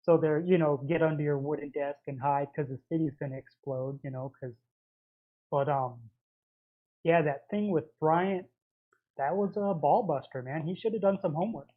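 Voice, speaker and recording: A man calling into a talk show, talking in a rambling, halting way. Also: fairly high pitch. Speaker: caller. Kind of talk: casual phone-in chat. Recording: tinny phone line.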